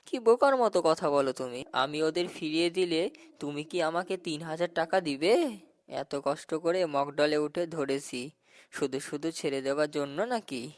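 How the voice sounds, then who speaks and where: A woman's voice: low-pitched, normal speed, dry recording, natural voice. A woman playing a greedy, mocking boy in a cartoon, scornful and boastful. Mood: dismissive, stubborn. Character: greedy, mocking boy who traps birds to sell. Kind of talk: Bengali moral cartoon dialogue.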